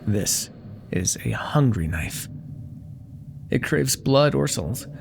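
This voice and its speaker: A man's voice: mid-range voice, animated, takes it slowly, creaky, slight background noise. A man voicing a vain, menacing demon crime lord in an ASMR audio roleplay, silky, theatrical and condescending, with cool threats under mock affection. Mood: calm, calculating.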